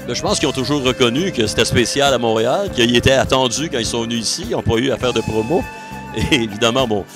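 Older Quebec man over loud music. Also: mid-range voice. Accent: Quebec French. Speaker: interviewed music fan. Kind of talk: enthusiastic reminiscence. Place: busy venue lobby, indoors. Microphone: handheld interview microphone.

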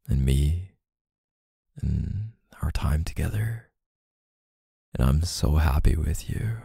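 Very deep, tender boyfriend acted by a man. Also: creaky, warm tone, breathy edge, no room sound, clean studio sound, exaggerated voice. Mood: tender, earnest. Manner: tender, halting, sighing between phrases. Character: gentle, apologetic, doting boyfriend comforting his partner. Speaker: boyfriend roleplay audio performer. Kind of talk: intimate ASMR boyfriend roleplay.

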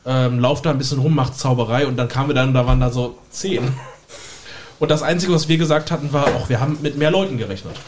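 A man's voice: mid-range voice, fast talker, background noise. A man chatting casually as a guest magician on a podcast, telling stories. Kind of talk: casual podcast chat, anecdotes.

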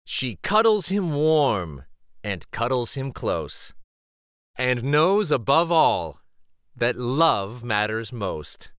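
A man in his forties reading a children's picture book aloud in an expressive, gentle storytelling way. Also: medium pitch, takes it slowly, smooth, no room sound, studio quality. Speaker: children's story reader and host.

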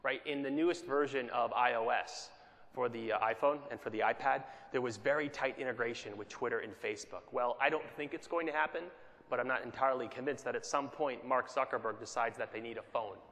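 A mid-pitched man delivers a business keynote from a stage. He sounds conversational rather than formal and keeps checking in with the audience. His voice rings out with a long reverb that fills the conference hall. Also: brisk, a bit bright. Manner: conversational, checks in with "right?" often. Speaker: keynote speaker.

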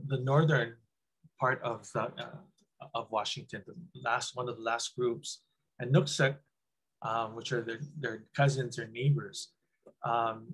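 Middle-aged guy on a webcam call, kinda husky, lots of ums and restarts. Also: mid-range voice, poor audio. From Hawaii. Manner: rambling, many "um"s and restarts. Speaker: guest speaker. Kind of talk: casual webinar talk. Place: small room indoors. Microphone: webcam on video call.

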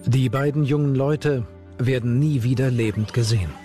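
A man narrates a documentary from a script at a medium pitch. Music plays behind his voice. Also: moderate pace.

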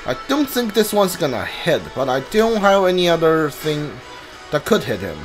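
A man's voice: fairly high pitch, very animated, moderate pace. A man giving casual video game commentary, thinking aloud and reading text from the screen. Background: game music.

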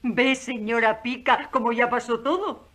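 A woman's voice: a little high, steady tone, measured pace, a bit bright, soft background music. A woman playing a chatty, devout and playful midwife servant in a radio drama, effusive, folksy and teasing. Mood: warm, chatty.

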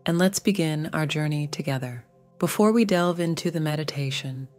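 A woman narrating a scripted guided meditation in a gentle, soothing, measured way. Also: low voice.

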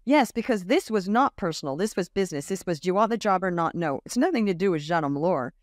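A woman in her fifties, raised in Australia, telling stories as a podcast guest, lively and acting out the voices of others. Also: on the low side, fast, dry recording, studio quality. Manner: animated storyteller, acts out quoted voices, laughs often. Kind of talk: casual interview storytelling. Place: home room with bookshelf. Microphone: large studio mic on boom arm, video call.

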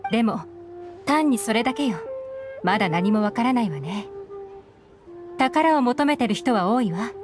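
An actress playing a composed, shrewd young woman investigator in a game, explaining things in a measured way. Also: a little high, expressive, lightly acted. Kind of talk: Japanese game voice acting. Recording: game music behind the voice. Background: background game music.

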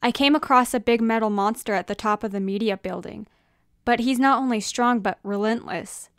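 A woman's voice: on the high side, moderate pace, fairly bright tone, dry recording, studio quality. A woman voicing an earnest, dutiful male superhero in a storybook, urgent but matter-of-fact.

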